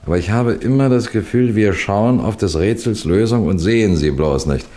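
An actor playing a shrewd, genial middle-aged detective who questions and narrates in a measured, gently teasing way, in the style of a 1960s German radio mystery. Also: male voice, on the low side, lightly acted. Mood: pensive, teasing. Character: shrewd, genial police detective narrating his own case.

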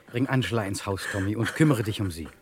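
An actor playing a methodical police detective in a German crime radio play, terse and commanding. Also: male voice, fairly low pitch, fast talker, slightly rough. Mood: commanding, sober. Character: methodical, insistent male police detective leading a murder case.

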